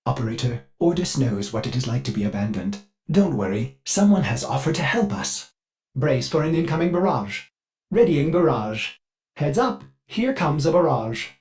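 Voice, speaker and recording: A man plays a video game ship's computer with the air of a devoted servant. It speaks formally and fussily at a middle pitch, with very animated, swinging intonation, eager to please. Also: normal speed, close to an everyday voice. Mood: earnest, pleading. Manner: mannered, formal, refers to itself in third person. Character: polite, fussy, eager male ship AI servant, prone to slips. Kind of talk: scripted game character voice lines.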